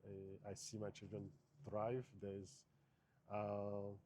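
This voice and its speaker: Thoughtful Haitian-born man, low voice. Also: monotone, slow, darkish tone, slight background noise. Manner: thoughtful, hesitant, many fillers and restarts. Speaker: interviewee. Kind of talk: casual interview answers.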